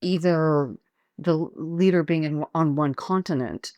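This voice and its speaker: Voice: mid-range voice, a little slow, a little mellow, dry recording. Host summarizing points in a dry recording. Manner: summarizing, reflective, affirming. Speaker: podcast host. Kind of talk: interview conversation.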